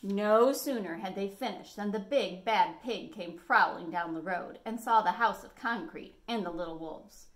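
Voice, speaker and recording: A middle-aged woman reads a children's picture book as a storytime host. Her voice sits at a medium pitch, and she tells the tale expressively, leaning on key words. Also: moderate pace, few pauses. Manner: animated storytelling with dramatic emphasis. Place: living room at home, indoors.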